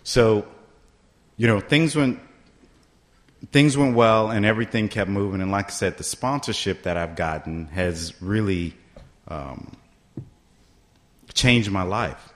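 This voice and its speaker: Middle-aged man, lowish voice, casual storyteller speaking to a meeting, conversational and direct. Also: slow, long pauses. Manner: conversational, keeps asking "right?" to the room. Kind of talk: personal story told to a meeting.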